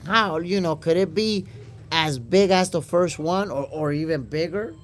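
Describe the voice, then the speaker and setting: A man's voice: fairly high pitch, measured pace, slight background noise. A Dominican man with a New York accent, hosting a casual boxing livestream, reading chat aloud and calling viewers "my brother". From Dominican Republic. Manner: chatty, calls viewers "my brother", repeats words. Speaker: boxing podcast host reading live chat.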